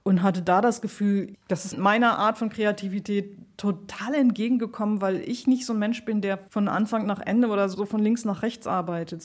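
A woman's voice: mid-range voice, darkish tone. Woman thinking aloud in an interview. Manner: informal, thinking aloud in loosely strung thoughts. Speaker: interviewed radio play maker, composer and performer. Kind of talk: spontaneous, reflective interview talk.

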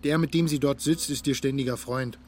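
A man's voice: mid-range voice, fairly even intonation, a little fast, slight background noise, lightly acted. An actor playing a smug, self-absorbed young sportsman who chats away boastfully about himself in a German audio drama, with little hums between phrases. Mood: casual bragging.

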